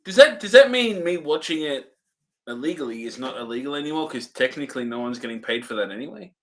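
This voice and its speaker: A man's voice: medium pitch, animated, fast. A young man co-hosting a casual movie review, joking and laughing often. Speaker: co-host.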